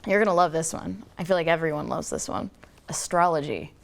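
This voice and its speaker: A young adult woman hosting a casual student talk show, playful and teasing as she jokes her way through quick riffs. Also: low voice, vocal fry. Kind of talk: casual chat.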